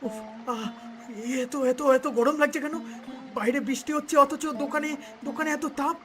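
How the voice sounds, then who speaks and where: A man plays a humble village father in a cartoon melodrama. His voice sits high for a male and sounds plaintive and anxious, often repeating words with feeling. Faint music runs underneath. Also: moderate pace, a bit bright. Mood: alarmed.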